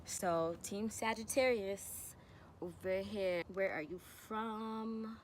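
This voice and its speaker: A young woman from New York talks straight to her viewers in a relaxed vlog Q&A, her voice pitched in an ordinary middle range. She rambles easily, leaning on "you know" as she goes. A faint hiss sits under her voice. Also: takes it slowly. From New York, USA. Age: 20s. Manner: chatty, many "you know" fillers. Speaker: vlogger. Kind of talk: casual Q&A vlog.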